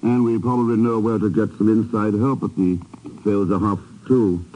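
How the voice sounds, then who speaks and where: An actor playing a cool, resolute secret agent with a dry, understated manner in a 1950s radio drama. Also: male voice, fairly low pitch, fairly even intonation, moderate pace, lightly acted. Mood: wry, cool. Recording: dull, noisy old radio broadcast.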